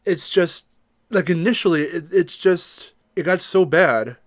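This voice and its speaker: A man's voice: sits high, a little slow, no echo, studio quality. A man co-hosting a podcast, talking casually in an emphatic, opinionated way. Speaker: podcast co-host. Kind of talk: casual discussion podcast chat.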